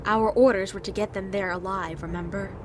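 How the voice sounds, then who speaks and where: A woman's voice: mid-range voice, fairly even intonation, a little fast, background noise, natural voice. A woman playing a proud, ruthless female agent in an amateur audio drama, terse and scornful in manner. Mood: calculating.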